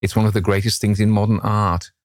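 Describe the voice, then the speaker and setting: A man's voice: fairly low pitch, completely flat delivery, fast, no room sound, clean studio sound, pitched above the performer's own voice, natural voice. A man voicing a witty, self-assured aristocrat with a flippant manner in a novel reading. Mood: enthusiastic.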